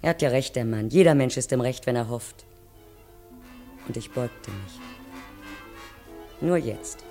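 A woman acting a weary, pleading working-class wife in a 1950s German radio drama, with earnest, deliberate phrasing. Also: a bass voice, lively intonation, warm tone, full character voice. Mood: pleading, defiant. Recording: 1953 radio recording, faint music behind.

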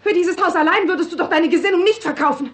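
High-voiced, fiery young daughter. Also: female voice, fast talker, very noisy. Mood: scornful, furious. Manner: impassioned, accusing. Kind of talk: 1960s German radio play acting.

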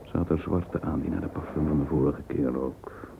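Pensive, sensual middle-aged man in radio monologue. Very low, creaky, halting murmur; muffled phone-like recording. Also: fairly even intonation. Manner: halting, murmured thinking aloud. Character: musing middle-aged man, sensual, curious, alone with his thoughts. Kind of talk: radio drama interior monologue. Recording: muffled phone-like old recording, faint hiss.

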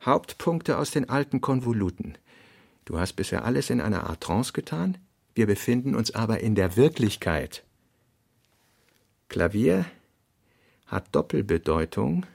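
Creaky-voiced man reading literary narration. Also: medium pitch, sing-song, a little slow, pauses often, a little mellow, no room sound, studio quality. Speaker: narrator, reader of literary text and notes. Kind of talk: scripted literary narration for a radio play.

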